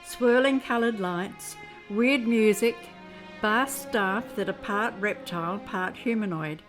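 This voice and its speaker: A woman's voice: mid-range voice, slow pace, soft background music. A woman reading scripted narration for a science fiction audio drama.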